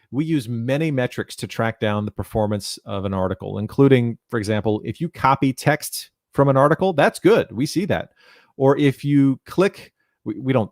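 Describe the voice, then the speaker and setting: Man, thirties, medium pitch, technical presenter, measured explanatory delivery, headset mic, very little room sound. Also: darkish tone, no echo, studio quality. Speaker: presenter, documentation content developer. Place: small indoor room, home video call.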